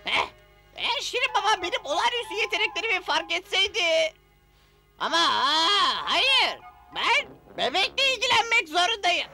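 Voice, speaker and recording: An actor playing a pompous, fussy little male cartoon gnome, squeaky and self-important in an animated dub. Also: moderate pace, soft background music, exaggerated voice. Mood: indignant, self-important.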